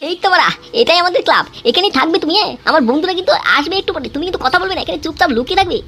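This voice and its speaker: A woman voicing a cheeky, scheming, foul-mouthed young lad in a comic cartoon, with artificially raised pitch and crude, excitable slang. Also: rapid-fire, slight background noise, heavily acted. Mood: scheming, eager. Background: music.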